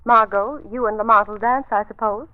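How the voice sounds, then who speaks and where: A woman's voice: a little high, steady tone, brisk, lightly acted. An actress playing a gracious, playful society wife and doting mother in a genteel old radio drama style. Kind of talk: 1940s radio acting. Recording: phone-like old radio recording.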